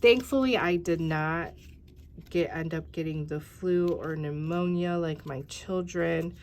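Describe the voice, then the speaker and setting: A woman's voice: on the low side, unhurried, a little mellow, a little hiss or hum. A tired, congested woman in her thirties chatting casually through a craft tutorial, rambling with many fillers and talking to viewers as "you guys.". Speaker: craft channel host.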